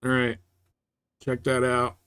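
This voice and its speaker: A man streaming a game live, chatting casually and thinking out loud in a rambling way. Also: mid-range voice, completely flat delivery, slow, smooth. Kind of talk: casual gameplay chat.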